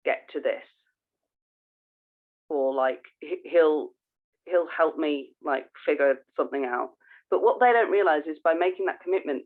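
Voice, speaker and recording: Narrow phone-quality audio, woman, slightly low pitch, casual podcast co-host, informal and self-interrupting.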